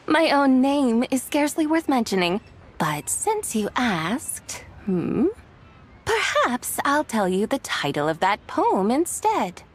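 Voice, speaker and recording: A woman voicing a dignified, ageless immortal maiden in a video game, speaking formally in a stately, measured, poetic way. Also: sits high, very animated, exaggerated voice. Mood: amused, coy.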